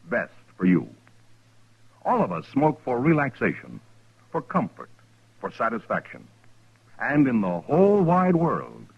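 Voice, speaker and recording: A man's voice: medium pitch, husky. A man announcing a 1950s radio cigarette commercial with a polished, emphatic sales delivery. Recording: dull, muffled old radio recording.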